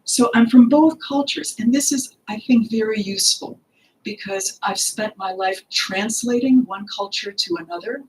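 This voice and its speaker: An older woman, the author, giving an earnest talk about her book at a podium in a library hall, pausing often with fillers. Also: mid-range voice, slight background noise. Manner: earnest and expressive, with frequent pauses and fillers. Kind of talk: lecture talk at a book launch. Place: library hall with bookshelves, indoors. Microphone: podium microphone.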